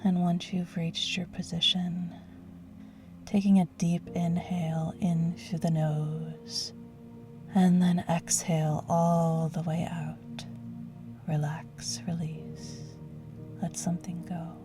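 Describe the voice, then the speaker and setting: Soft mellow woman doing guided meditation, really slow n breathy, faint music behind. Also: lowish voice, little pitch movement. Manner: soothing, gentle, breathy hushed delivery. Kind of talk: guided yoga nidra relaxation.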